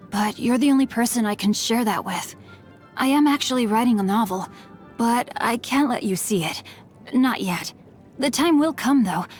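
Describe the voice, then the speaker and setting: A woman's voice: medium pitch, close to an everyday voice. A woman voicing a courteous, bookish teenage boy martial artist in a video game, with refined, eloquent and formal diction. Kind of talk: video game character voice lines. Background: music.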